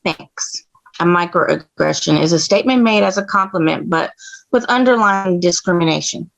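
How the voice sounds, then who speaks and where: A woman's voice: lowish voice, normal speed, gravelly. A woman playing an assertive, indignant fourteen-year-old girl doctor at a table read, matter-of-fact and precise. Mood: blunt. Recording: dull, lo-fi audio.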